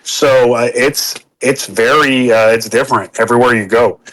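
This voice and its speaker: A man with a Southern drawl talks loosely on a podcast, stopping and restarting his thoughts. His voice sits at an ordinary middle pitch, and he drops the odd curse word. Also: fairly even intonation, a bit bright, rough recording. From Texas, United States. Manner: halting, restarts often, swears casually. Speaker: podcast participant. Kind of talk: casual podcast chat.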